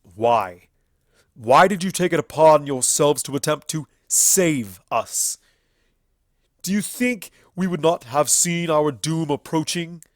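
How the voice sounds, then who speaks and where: Man acting a loud, bitter, ancient stallion. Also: on the high side, lively intonation, no echo, studio quality, pitched above the performer's own voice. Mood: indignant outrage. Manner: declamatory, scornful, drawn-out emphasis. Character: bitter, ancient alicorn stallion, furious accuser.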